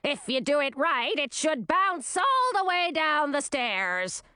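A woman voicing a shrill, scornful old queen with a screechy, contemptuous way of scolding. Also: very animated, takes it slowly, bright, forceful tone, no echo, clean studio sound, heavily acted.

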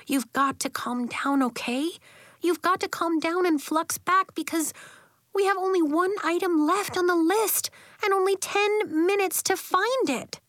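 A woman voicing a gentle, sensible young girl in a children's audiobook, speaking softly and soothingly. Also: very high-pitched, fairly even intonation, measured pace, dry recording, studio quality, pitched above the performer's own voice. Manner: soft, soothing.

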